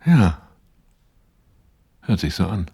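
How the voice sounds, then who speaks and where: A troubled, dutiful man on a therapist's couch in a radio play. His voice is very low and clean, recorded close and dry. He recounts his past matter-of-factly, with bitterness underneath, slipping into remembered dialogue. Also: big pitch swings, takes it slowly, creaky, warm tone, slightly rough, no room sound, full character voice. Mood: resigned bitterness. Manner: matter-of-fact, reflective, acting out remembered dialogue. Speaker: protagonist and first-person narrator of a radio play. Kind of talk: 1980s German radio play acting, confessional monologue.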